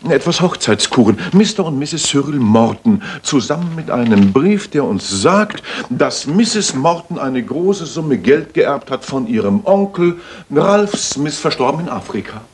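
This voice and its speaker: An actor playing a shrewd, self-assured Victorian master detective in a radio play, speaking in a probing, precise way. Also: male voice, mid-range voice, big pitch swings, measured pace, a little hiss or hum. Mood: pleased.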